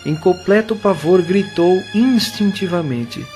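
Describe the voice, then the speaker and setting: Slow, solemn Brazilian male narrator. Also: sits high, music in the background. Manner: solemn, literary, measured. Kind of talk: scripted dramatic narration of an audio novel.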